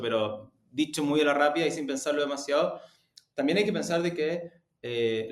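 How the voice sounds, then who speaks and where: A man with a Chilean accent giving spontaneous, reflective interview answers, often searching for words and rephrasing. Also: mid-range voice. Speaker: interview guest, podcast company executive. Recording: roomy, echoing sound.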